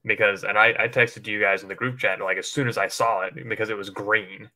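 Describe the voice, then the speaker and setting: A man with a fairly low voice and a bright, forward edge. He talks like a livestream co-host in casual, rambling conversation, informal and loose in his wording. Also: fairly even intonation, a little fast. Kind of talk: casual chat speculating about films.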